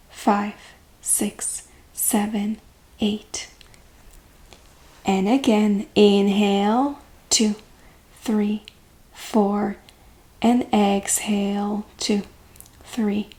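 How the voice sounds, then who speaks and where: A woman leading a guided meditation as a coach, speaking gently and calmly with long, unhurried pauses. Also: mid-range voice.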